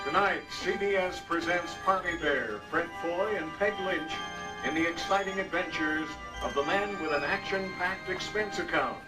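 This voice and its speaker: An actor playing a dramatic network announcer in old-time radio style, recorded live on stage from a distance. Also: male voice, fairly high pitch, moderate pace, music in the background, natural voice. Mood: grand, rousing.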